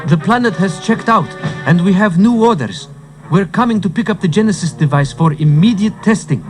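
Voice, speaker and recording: An actor playing an earnest, high-strung male starship officer in an audio storybook adventure. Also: lively intonation, moderate pace. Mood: formal. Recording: cassette transfer with music underneath.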